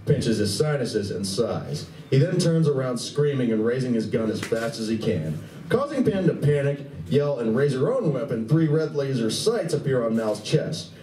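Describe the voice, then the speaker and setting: A man's voice: mid-range voice, dark tone, recorded from a distance, a little hiss or hum. A man giving dramatic scripted narration for a live radio play. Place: live stage reading at a convention. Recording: echoey room, picked up from afar.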